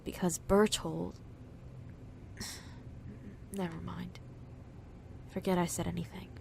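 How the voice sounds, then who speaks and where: A woman playing a sullen, guarded young cult follower in an audio drama, terse and hushed. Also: fairly low pitch, level tone, takes it slowly, vocal fry, slight background noise, exaggerated voice. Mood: sullen, resigned.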